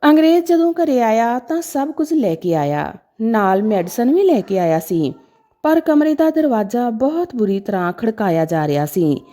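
Female narrator for sentimental moral stories, mellow tone, very lively pitch movement. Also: normal speed. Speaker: storyteller. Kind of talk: scripted dramatic narration of a sentimental moral story.

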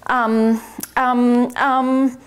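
A bossy, fussy little male mouse with a high, squeaky voice, as a woman puts him on while reading a storybook aloud. He talks in an insistent, excitable way, like a self-important manager. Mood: flustered. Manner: bossy, quick to fluster, squeaky. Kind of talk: children's book read-aloud.

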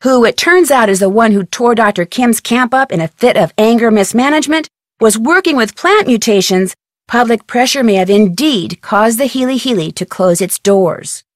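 A woman's voice: on the high side, expressive, moderate pace, no echo, clean studio sound, lightly acted. An American woman voicing an upbeat, clever young female detective in a video game, narrating earnestly with wry asides. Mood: earnest recounting.

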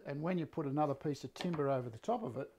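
Croaky older man teaching woodwork. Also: fairly high pitch, rapid-fire, a little hiss or hum. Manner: relaxed, rambling, many fillers and restarts. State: slightly croaky voice. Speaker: woodworking teacher and live-stream host. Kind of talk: live workshop demonstration. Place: woodworking workshop indoors. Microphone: fixed camera, mid-distance framing.

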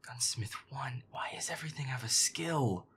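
A man muttering sarcastic, sweary gameplay commentary in a breathy, casual way, close to the mic. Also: medium pitch, lively intonation, normal speed, noisy background. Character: feisty werewolf boyfriend playing a scary game. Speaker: gamer playing a horror game.

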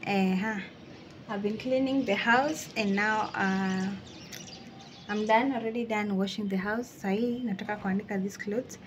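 A woman's voice: mid-range voice, fairly even intonation, measured pace, a little hiss or hum. A young woman with a Kenyan accent, casually vlogging about her day at home and mixing in Swahili phrases. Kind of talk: casual vlog narration. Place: home kitchen.

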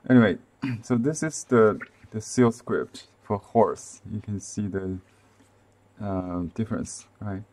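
A man in his fifties with a Chinese accent, explaining calligraphy on a live stream from a desk webcam, softly and with many fillers and restarts. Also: fairly low pitch, slow. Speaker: calligraphy and seal-carving teacher. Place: indoor room with shelves, at a desk.

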